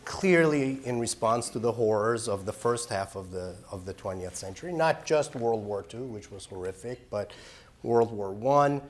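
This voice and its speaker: A man's voice: lowish voice, lively intonation, normal speed. A middle-aged man from Iran, executive director of a human rights group, giving an earnest, explanatory talk at a live public forum.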